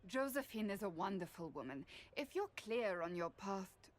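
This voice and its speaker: A woman's voice: medium pitch, very animated, natural voice. Woman acting an earnest, solemn warrior friend. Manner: earnest, formal, deliberate phrasing. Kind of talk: video game cutscene acting.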